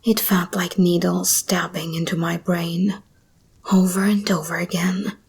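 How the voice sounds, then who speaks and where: Casting: female actor as possessive, tender monster woman for ASMR roleplay; breathy whisper, predatory intensity, unhurried delivery. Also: fairly low pitch, little pitch movement, heavily acted. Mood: tender, reassuring. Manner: slow, intimate, intense.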